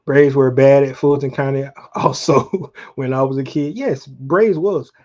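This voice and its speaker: Sports stream host: middle-aged man, relaxed mellow voice, colloquial fan chat, slightly muffled lo-fi audio. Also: mid-range voice, unhurried. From Atlanta, Georgia, United States. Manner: conversational, addresses viewers directly, colloquial. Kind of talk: casual fan chat, reminiscing about sports.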